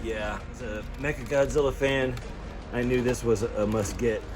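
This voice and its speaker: A man chatting casually through a toy unboxing and review, with an excitable, giggly fan's enthusiasm. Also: mid-range voice, normal speed, music in the background. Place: indoors in an apartment.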